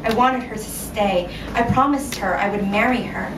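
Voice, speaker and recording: A woman author reading her short story aloud at a live event, measured and expressive, recorded in a room from a distance. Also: medium pitch. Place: indoor venue at a reading series. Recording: roomy, noisy live recording from afar.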